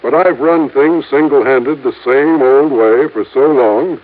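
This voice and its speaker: An actor playing an indulgent older male store boss in a 1950s radio drama, formal, deliberate and fatherly, often repeating himself. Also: medium pitch, narrow, tinny audio, lightly acted. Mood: regretful. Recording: band-limited old radio broadcast recording.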